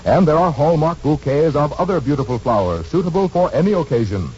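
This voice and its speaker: A man announcing in polished, scripted 1940s radio style, pitching a sponsor's product. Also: expressive, fairly quick, a little mellow, slight background noise. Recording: muffled 1940s radio recording, faint music.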